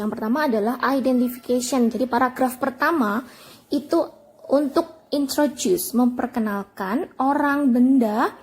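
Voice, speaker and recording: A woman's voice: high-pitched, breathy edge. A woman English teacher explaining a lesson in simple, plain steps.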